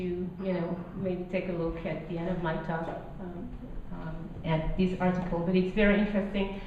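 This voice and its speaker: A woman's voice: fairly low pitch, slight background noise. French lady giving a talk in a gallery, lots of um and uh, far off and echoey, bit muffled. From France. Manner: friendly, hesitant, many fillers. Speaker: lecturer. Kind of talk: public lecture. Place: small gallery room with guests. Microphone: handheld mic, far camera. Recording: roomy, distant, dull lo-fi.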